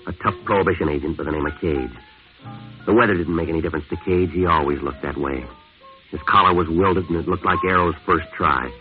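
A tinny old radio drama features an actor as a world-weary, hard-boiled man with a low voice. He talks quickly in terse, deadpan, sardonic noir style. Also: soft background music, close to an everyday voice. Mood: wry, world-weary. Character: hard-boiled, wisecracking male jazz-age antihero, world-weary and terse. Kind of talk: 1950s radio noir narration and acting. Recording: tinny, band-limited vintage radio recording.

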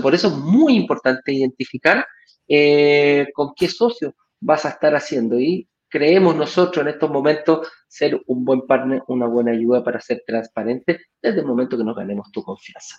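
A man's voice: mid-range voice, expressive, normal speed. A man with a Chilean accent speaking casually as co-host of a live show. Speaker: co-host and business partner. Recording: dull, lo-fi audio.

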